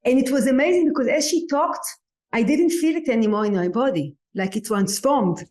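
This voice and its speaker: A woman's voice: a little high, fairly quick, a little mellow. A woman whose first language is Hebrew, talking reflectively on a podcast and sometimes searching for words in English. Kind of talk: casual podcast conversation.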